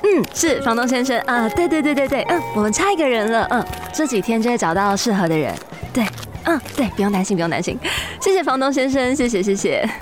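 A woman's voice: fairly high pitch, lively intonation, music in the background, natural voice. A woman with a Taiwanese accent playing a chatty, kind, teasing young big-sisterly housemate in an audio drama. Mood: busy, harried. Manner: exclamatory, playful scolding with drawn-out particles.

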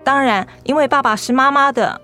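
A devoted young woman in a radio drama, a daughter with a fairly high voice. She speaks with tenderness and an anxious edge, over music. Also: fairly quick, sharp tone. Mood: playful, cheeky. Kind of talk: Mandarin radio drama acting.

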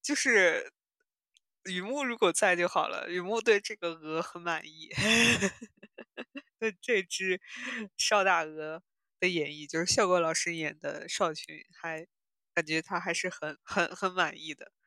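Female podcast host, bright clear-cut tone, conversational and musing, short phrases, recorded dry. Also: lowish voice, a little slow, no room sound. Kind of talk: casual chat reviewing audio dramas.

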